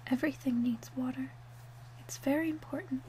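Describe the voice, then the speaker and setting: A woman softly half-whispering in an ASMR roleplay as a calm, helpful witch, with a gentle, deliberate delivery. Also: sits high, slight background noise, rough recording. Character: calm, helpful female witch selling love potions.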